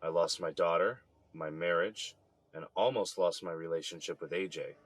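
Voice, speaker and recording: A man's voice: low-pitched, steady tone, moderate pace, no room sound. A man playing a weary, embattled middle-aged son in an audio drama, downcast, frustrated and bitter.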